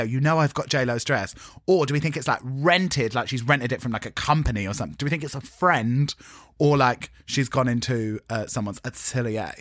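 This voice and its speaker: A man co-hosting a casual podcast. His pitch sits somewhat high and moves a lot. He sounds sarcastic and swears freely, and the recording is close and dry. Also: pushed and bright, dry recording. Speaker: podcast co-host. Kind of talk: casual chat reviewing a TV show.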